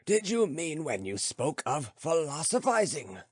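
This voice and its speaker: A man's voice: fairly high pitch, big pitch swings, measured pace, husky, no echo, studio quality, pitched above the performer's own voice, full character voice. A man voicing an old philosopher, lofty and teasing, questioning emphatically in an audiobook story. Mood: incredulous, probing. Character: old philosopher sage, lofty, teasing, growling, addressing youths.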